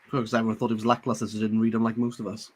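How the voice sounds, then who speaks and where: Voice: mid-range voice, completely flat delivery, rapid-fire, a little mellow, breathy edge. A co-host talks casually and jokes while reacting live to a prize announcement. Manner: joking, chatty. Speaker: livestream co-host.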